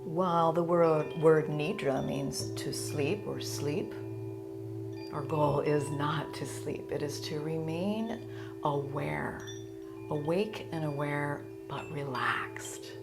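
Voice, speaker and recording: A woman teaching yoga nidra, gently and soothingly leading a guided meditation in a live online session. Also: low-pitched, vocal fry, over music. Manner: soothing, gentle, unhurried invitations. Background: singing bowls and chimes.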